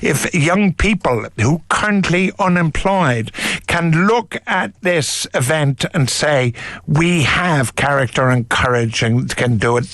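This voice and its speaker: A man's voice: mid-range voice, a bit bright, no echo. A man with an Irish accent hosting a radio talk show, blunt and passionate as he interviews and comments. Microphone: studio microphone.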